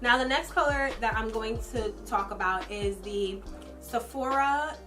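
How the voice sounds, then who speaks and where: A woman's voice: fairly high pitch, unhurried, pushed and bright, soft background music. A young woman losing her voice with a runny nose, chatting casually through a makeup product review as a vlogger. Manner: chatty, filler-heavy, personal opinions. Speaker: beauty vlogger.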